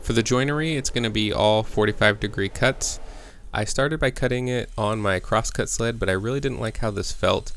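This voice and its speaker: A man's voice: fairly low pitch, moderate pace, fairly bright tone. A man giving a casual, plain-spoken how-to voice-over, explaining step by step as a maker hosting his own video. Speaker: woodworking maker and host.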